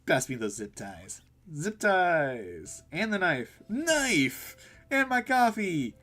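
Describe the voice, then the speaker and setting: A spunky, manic young tinkerer girl, played by a man. She is an excitable visual novel character who gets shouty and swings up in pitch, over faint music. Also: slow, exaggerated voice. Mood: excited, demanding. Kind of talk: visual novel dialogue read aloud.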